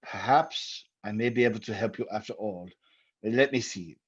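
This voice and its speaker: A man playing a grave, scholarly occult doctor in old-time radio style, speaking haltingly, deliberately and ominously on a dull old recording. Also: on the low side, husky. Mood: pensive.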